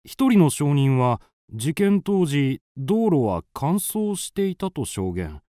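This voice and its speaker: An actor playing an earnest, easily astonished friend of a detective, with dramatic, halting radio drama delivery. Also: male voice, fairly high pitch, sing-song, warm tone, no echo, clean studio sound. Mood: puzzled, reading. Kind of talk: Japanese radio drama acting.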